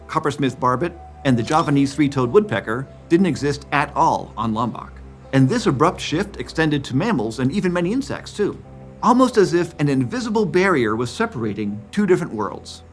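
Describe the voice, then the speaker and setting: Man in his 50s reading science doc narration, lively up and down delivery, soft music under it. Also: mid-range voice. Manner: clear, explanatory. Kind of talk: scripted documentary narration.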